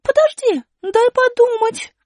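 A woman voicing a feisty, indignant young girl who quickly turns angry, in a very high put-on voice. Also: animated, a little mellow, no echo, clean studio sound. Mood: sharp. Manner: indignant, demanding.

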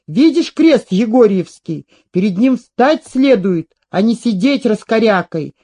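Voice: medium pitch, expressive, measured pace, warm tone, slightly rough. A performer voicing a boastful, pathetic old veteran soldier with rustic, declamatory speech in a story reading. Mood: boastful, loud.

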